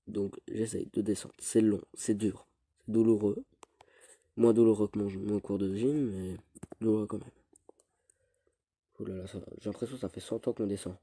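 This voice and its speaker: A teenage boy with a cold, rambling through casual gameplay commentary with halting restarts. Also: lowish voice, steady tone, warm tone, husky. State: stuffy with a cold. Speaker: gaming youtuber.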